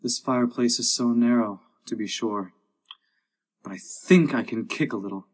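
A man's voice: mid-range voice, normal speed, husky, close to an everyday voice. A man voicing a curious young girl who muses and questions herself in a storybook. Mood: puzzled. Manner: wondering, self-questioning.

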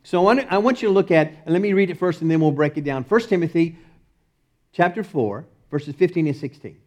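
A man with a Texas accent preaching a sermon as the pastor, emphatic and repetitive, often breaking into short laughs and swinging between loud and soft. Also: on the high side, expressive, a little fast. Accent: Texan.

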